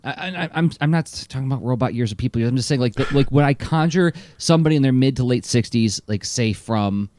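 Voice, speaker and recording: A man co-hosting a podcast in casual chat, animated and full of fillers. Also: mid-range voice, fast talker, hardly any pauses, slight background noise. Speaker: podcast co-host.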